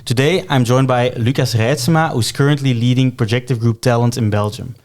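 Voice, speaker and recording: A man's voice: medium pitch, steady tone, brisk, darkish tone. A man hosts a podcast interview, opening with a practiced welcome. When he asks his questions, he halts and doubles back, repeating words before he gets them out. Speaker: podcast host.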